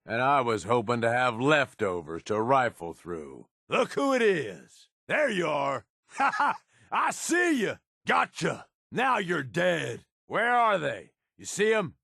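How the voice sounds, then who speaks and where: An actor playing a tough, cynical middle-aged raider henchman in a video game, with a gravelly voice and rough, folksy, sardonic slang. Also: medium pitch, wildly swooping pitch, slow pace, sharp tone, no room sound, studio quality, full character voice. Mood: relaxed, sardonic, then taunting, aggressive. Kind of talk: video game character voice lines.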